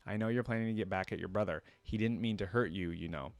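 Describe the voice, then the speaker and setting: An old great-aunt from a storybook, voiced by a man, knowing and amused. She teases and gently scolds in a low voice, recorded dry. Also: quick pace, no room sound, close to an everyday voice. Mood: gentle scolding.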